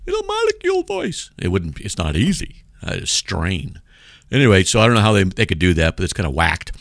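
A man with a deep voice hosting a call-in radio show, joking and sarcastic as he reads out mail. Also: wildly swooping pitch, fairly quick, vocal fry, no room sound, clean studio sound.

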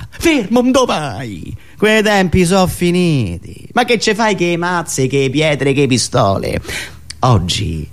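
A man delivering a theatrical, ironic political monologue as a radio satirist, emphatic and fond of dramatic pauses. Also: on the high side.

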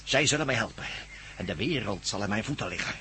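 A man's voice: on the low side, animated, fast, sharp tone, slight background noise. An actor playing a megalomaniac villain in a radio drama, menacing, pompous and theatrical, gloating over his schemes. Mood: grandiose, fanatical. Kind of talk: Dutch radio drama acting.

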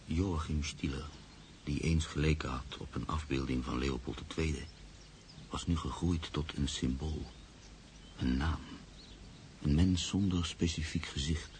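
An actor playing a grave, reflective man who narrates his own story in a radio drama, gravelly and measured. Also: a bass voice, fairly even intonation, a little mellow. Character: Freek, reflective grave man, narrator-protagonist moved by loss. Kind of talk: literary radio drama monologue. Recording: faint background noise.

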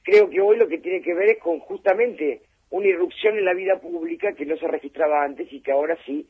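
A woman's voice: on the low side, fairly quick, slightly rough. A woman, speaking as a phone guest on live radio, thoughtful and hesitant with fillers and restarts. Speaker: phone guest, head of a gender commission. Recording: tinny phone line that drops out.

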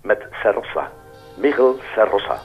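A man's voice: on the low side, sing-song, normal speed, creaky, husky, dull audio, faint music behind, heavily acted. A man playing an eager, slightly hesitant Flemish police colleague reporting news in a radio drama. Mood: pleased, informative. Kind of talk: naturalistic radio drama acting.

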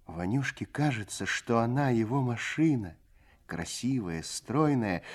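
An actor narrating a Soviet radio play in a lyrical, literary way, with measured, expressive phrasing. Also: male voice, mid-range voice, breathy edge. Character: male storyteller, lyrical and unhurried.

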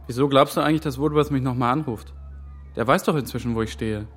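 An actor playing a nervous young intern on the run, tense and doubting. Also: male voice, mid-range voice, quick pace, breathy edge, faint music behind, close to an everyday voice. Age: young adult.

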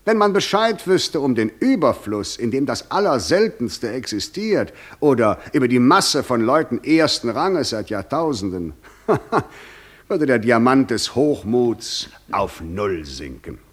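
A man's voice: medium pitch, very animated, measured pace, slightly rough. A man acting the Devil in a German radio play, proud, sardonic and eloquent, with grand, ironic delivery. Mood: musing, grandiose. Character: the Devil, proud, sardonic, worldly-wise old tempter.

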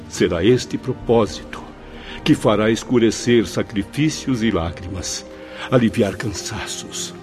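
A man acting a repentant professor's spirit in a Brazilian radio drama, earnest, deliberate and declamatory. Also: lowish voice, a little mellow, lightly acted. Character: repentant male professor's spirit, fervently hopeful and resolute.

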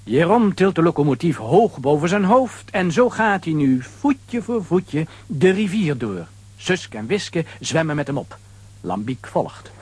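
A man narrates a children's adventure story. His voice is slightly high and husky, and he tells it with very lively, varied intonation. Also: measured pace.

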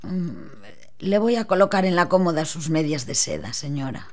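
A woman playing a tired, submissive housemaid who answers her mistress quietly in a radio drama. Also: deep voice, sing-song, moderate pace, a little hiss or hum. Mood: weary, meek. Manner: quiet and meek.

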